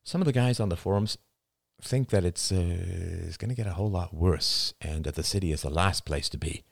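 A man's voice: low-pitched, brisk, no echo, studio quality. A man voicing an anxious, earnest young father who pleads with feeling in an audiobook reading. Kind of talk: audiobook dialogue.

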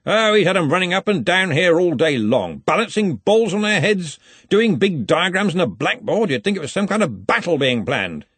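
A male narrator as hearty old university chief, high-pitched and swooping, clipped phrases, menacingly jolly, storybook character. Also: sing-song, moderate pace, fairly bright tone, slightly rough, no echo, exaggerated voice. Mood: boisterous, enthusiastic. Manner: hearty, clipped phrases, slightly threatening joviality. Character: jovial, booming, tall old male university Arch-Chancellor.

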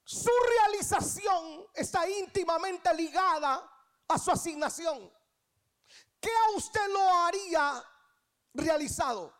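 A woman's voice: extremely high pitch, animated, slow pace. A woman in her thirties with a Guatemalan Spanish accent preaches a sermon, emphatically repeating key phrases and putting questions to the congregation. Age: 30s. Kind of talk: church sermon.

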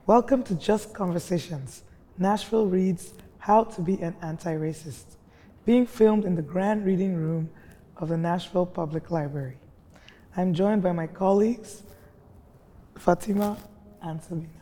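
A woman hosting a panel discussion in a large library reading room, speaking in a measured way and reading definitions carefully. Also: fairly low pitch, mellow. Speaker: host and immigration manager. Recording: long reverb of a large hall.

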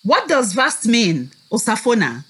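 A woman teacher leading a scripted read-aloud for young children, speaking deliberately and expressively and prompting them to repeat. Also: fairly high pitch, very animated, bright, forceful tone, husky.

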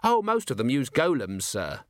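A man's voice: mid-range voice, huge pitch swings, moderate pace, dry recording, studio quality, voice pushed up. A man voicing an eager, respectful female dwarf alchemist in an audiobook, deferential and chirpy.

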